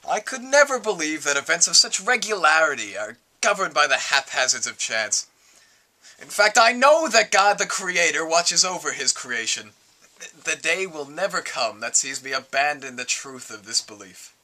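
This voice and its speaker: A man's voice: fairly high pitch, sing-song, normal speed, pushed and bright, heavily acted, poor audio. A man playing a grieving, bewildered exiled philosopher, speaking formally and earnestly in a dramatic reading. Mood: earnest, sure. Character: grieving, bewildered male prisoner-philosopher, narrating his visitation.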